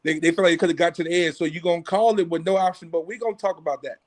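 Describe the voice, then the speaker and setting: A man's voice: fairly high pitch, fairly even intonation, very fast. A man, a football coach, breaking down plays in casual talk and animatedly acting out what coaches say in the huddle. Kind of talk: casual sports analysis.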